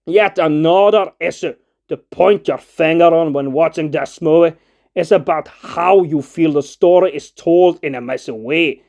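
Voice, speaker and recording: Gravelly male film critic, fairly high voice, scripted narration, opinionated, argumentative, formal wording. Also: unhurried, a little mellow. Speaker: film reviewer. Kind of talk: scripted video-essay narration.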